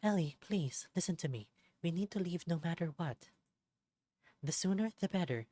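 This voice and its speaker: A man's voice: on the high side, animated, normal speed, warm tone, no room sound, voice pushed up. A man voicing a loving mother fox in a visual novel, soothing but insistent. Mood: urgent, firm. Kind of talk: visual novel character voice acting.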